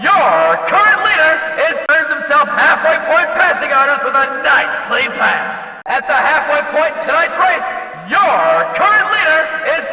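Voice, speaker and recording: High-pitched, echoing race announcer. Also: male voice, sharp tone, heavily acted. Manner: emphatic, showy announcing. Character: excitable, cheeky male stock car race announcer. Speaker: race announcer in a video game. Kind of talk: excited racing commentary. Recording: echoey PA-like hall, distant and dull.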